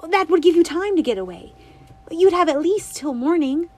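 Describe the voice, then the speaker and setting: A woman voicing an earnest, anxious little girl who pleads in a high, breathless voice in an audiobook. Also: lively intonation, moderate pace, a little mellow. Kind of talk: audiobook reading.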